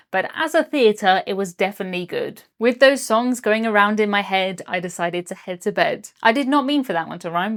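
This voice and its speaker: Upbeat British woman narrating a travel vlog. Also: medium pitch. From Britain. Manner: upbeat, chatty, lightly jokey. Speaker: cruise vlogger.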